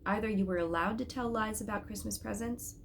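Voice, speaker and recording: A woman reading a storybook as a father, inquiring and measured, with a stern edge. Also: medium pitch, creaky, slight background noise, pitched above the performer's own voice, lightly acted. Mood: suspicious.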